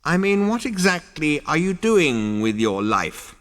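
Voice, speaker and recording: A man voicing a tiny, ancient two-headed male ghost who snaps and scolds in a rasping, screechy voice. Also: on the high side, very animated, a little slow, fairly bright tone, long reverb tail, pitched above the performer's own voice. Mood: scolding. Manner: snappish, disdainful scolding.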